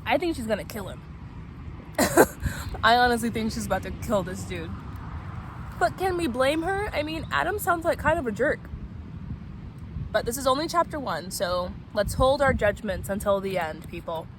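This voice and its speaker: A woman's voice: fairly high pitch, expressive, moderate pace, pauses often. A woman speaking in a playful, casual way as a vlog host, chatting and reading aloud. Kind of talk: casual vlog chat and novel read-aloud. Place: outdoors at a picnic spot. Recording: noisy outdoor recording with traffic ambience.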